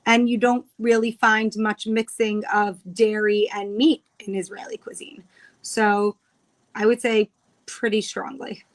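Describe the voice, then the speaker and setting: A woman in her thirties from New York with an American accent speaks in a fairly high voice. She is an interview guest who chats casually and explains things in careful detail. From New York, United States. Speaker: guest, cookbook author.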